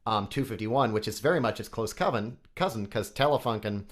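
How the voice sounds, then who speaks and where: A man's voice: lowish voice, brisk. A man with a nasal voice, a guest on a podcast, chatting casually and technically about microphones. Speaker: guest, microphone company CEO.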